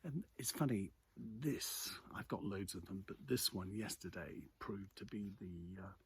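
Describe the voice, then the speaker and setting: Low-pitched British man chatting over background noise. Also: expressive, measured pace. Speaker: vlogger giving a morning news commentary. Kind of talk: casual chat, off-the-cuff commentary on the news. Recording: clearly noisy ambient background.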